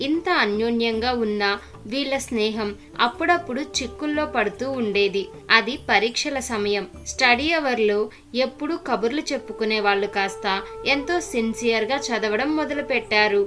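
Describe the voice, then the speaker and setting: A woman with a fairly high voice tells a scripted story as its narrator. Music plays softly behind her. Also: normal speed.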